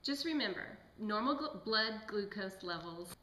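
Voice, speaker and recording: A woman's voice: mid-range voice, expressive, measured pace, bright, forceful tone. A woman playing a lab technician guest in an amateur skit, explaining scripted lines hesitantly and with a giggly manner. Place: indoor room, amateur student video. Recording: echoey room with background noise.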